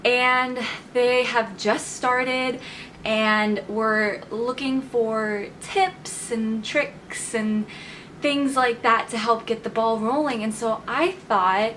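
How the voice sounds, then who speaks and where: A woman's voice: fairly high pitch, fairly even intonation, slow, fairly bright tone, slight background noise. A woman in her twenties talking casually to camera as a vlogger, chatty and enthusiastic, laughing often as she talks. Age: 20s. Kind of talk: casual vlog talk to camera.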